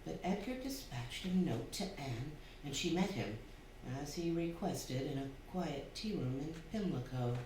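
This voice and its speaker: A performer playing a wry, measured narrator in a stage radio drama, telling the story deliberately. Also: medium pitch, expressive, lightly acted. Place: theatre stage, live performance. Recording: very echoey hall, distant mic, faint noise.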